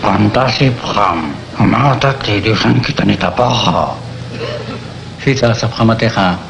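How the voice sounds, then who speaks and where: Un hombre haciendo de tipo gruñón de voz grave. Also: male voice, lowish voice, a little slow, creaky, background noise. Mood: grumbling. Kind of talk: radio drama acting.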